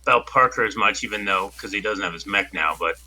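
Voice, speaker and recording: A man improvising in character in a tabletop role-playing game, explaining things in a hesitant, halting way. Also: on the low side, sharp tone, slight background noise, low-quality recording.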